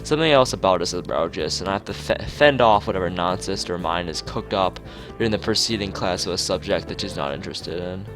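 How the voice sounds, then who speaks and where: A man reading a visual novel aloud in an expressive narrating style, as a let's-player. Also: low voice, quick pace, few pauses. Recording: music behind the voice. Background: clear background music.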